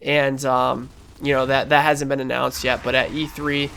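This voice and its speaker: A young man commentating over his gameplay in a casual, rambling, enthusiastic way, sounding stuffy and a little hoarse. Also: mid-range voice, flat delivery, moderate pace, a bit bright. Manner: rambling, enthusiastic, lots of fillers and restarts. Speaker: gaming channel host.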